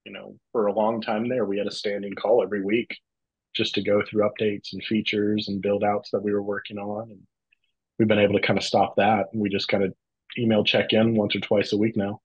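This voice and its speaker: A man's voice: on the low side, level tone, brisk, husky. A man chatting casually as a guest on a video call, with slightly muffled audio. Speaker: guest speaker, software customer. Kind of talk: casual chat.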